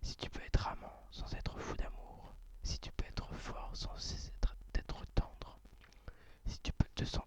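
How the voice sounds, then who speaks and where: A whispered ASMR host reading poetry and chatting about books in a halting, informal way, sex unclear. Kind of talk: whispered ASMR poem reading and book chat.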